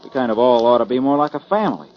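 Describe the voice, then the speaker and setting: A man's voice: mid-range voice, expressive, rapid-fire, close to an everyday voice. Man as earnest cowhand, mid-range voice, folksy, hesitant, dull vintage radio recording. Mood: friendly, uneasy. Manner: homespun, hesitant. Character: folksy, earnest male rodeo cowboy. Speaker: actor in a radio drama. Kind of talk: 1940s radio acting.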